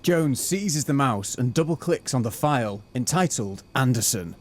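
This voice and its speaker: A man's voice: medium pitch, animated, normal speed, natural voice. A man narrating a comic detective audio drama in a scripted, matter-of-fact storytelling style. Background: guitar music.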